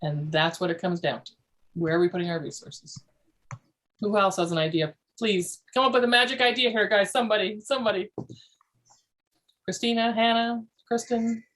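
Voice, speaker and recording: A woman's voice: mid-range voice, normal speed, fairly bright tone, a little hiss or hum. A woman hosting a parenting podcast as a family therapist, leading a group discussion and repeating phrases for emphasis.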